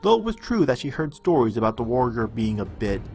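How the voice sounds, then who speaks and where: A man narrates a scripted fantasy legend in a mid-pitched voice, with music playing behind him. His delivery is dramatic and measured, in the manner of a storyteller reading a storybook. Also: very animated, darkish tone.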